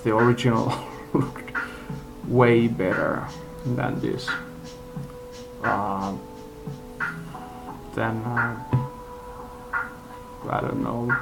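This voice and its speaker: Male amateur reviewer, gravelly and unhurried, halting and blunt, unscripted; radio music audible behind him. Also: medium pitch, steady tone, rough recording. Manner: halting, rambling delivery. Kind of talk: unscripted casual rant review. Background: music from a live radio.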